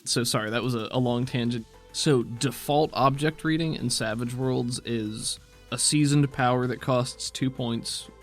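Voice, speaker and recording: A man with an American accent talking casually as a game master, explaining rules and narrating in a measured, conversational way. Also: mid-range voice, fairly even intonation, faint music behind. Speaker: game master and podcast host.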